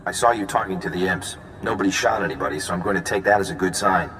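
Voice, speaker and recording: An actor playing an easygoing, smooth-talking, flirtatious male intelligence agent in a video game, casual and breezy. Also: sits low, quick pace, music in the background, natural voice. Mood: upbeat. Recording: long reverb, faint background noise.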